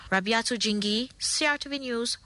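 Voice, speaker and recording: A woman's voice: fairly high pitch, moderate pace, bright, forceful tone, no room sound. A woman with a Cameroonian accent narrating a scripted news report.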